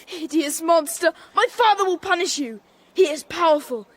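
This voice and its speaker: A performer in a gothic radio drama playing a frightened, defiant, haughty little boy, shrill and indignant. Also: normal speed, fairly bright tone, dry recording. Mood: indignant threats.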